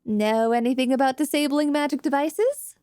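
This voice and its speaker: A woman's voice: sits high, normal speed, dark tone, no echo, clean studio sound, close to an everyday voice. A woman voicing a chatty, perky young adventurer in a fantasy audio roleplay, talking casually. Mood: breezy, friendly.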